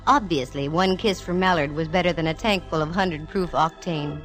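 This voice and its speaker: A low-pitched actress playing a female private eye from golden-age radio, breezy and dryly funny. She is self-assured and wisecracking, with music under the old recording. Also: moderate pace, natural voice. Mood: dreamy, amused. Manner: wry, breezy, dryly humorous. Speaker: lead actress narrating a detective serial. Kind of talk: 1940s radio detective acting and narration.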